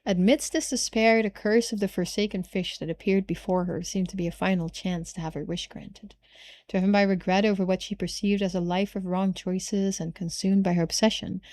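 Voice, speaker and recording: Woman as a solemn, measured game narrator. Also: lowish voice, dark tone, lightly acted. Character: solemn storyteller of a tragic mermaid curse. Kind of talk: scripted narration read aloud from a game.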